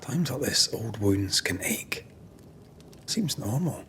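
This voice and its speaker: An actor playing a devoted, gentle ghost hunter in a game cutscene, soft-spoken and earnest. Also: male voice, fairly low pitch, big pitch swings, slow, a little hiss or hum, full character voice. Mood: concerned. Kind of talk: dramatic game cutscene acting.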